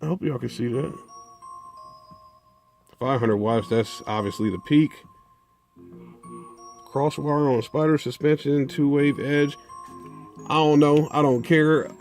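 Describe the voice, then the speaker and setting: A man's voice: medium pitch, darkish tone. An American man hosting a car audio video, chatting casually to viewers in a colloquial, slangy way and often tagging phrases with "man". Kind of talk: casual unboxing and product chat to viewers.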